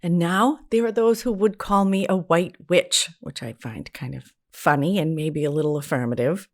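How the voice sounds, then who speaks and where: A woman's voice: on the low side, normal speed. An American woman hosting a solo podcast in a conversational, candid and self-deprecating way, with informal wording. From New England, United States. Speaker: podcast host, therapist and spiritual teacher.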